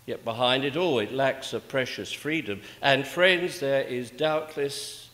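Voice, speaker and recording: An older man preaching a sermon in a measured, rhetorical manner, with emphatic pauses and repeated phrases. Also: mid-range voice, pushed and bright. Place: indoors, small church at a pulpit. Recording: echoey church with long reverb.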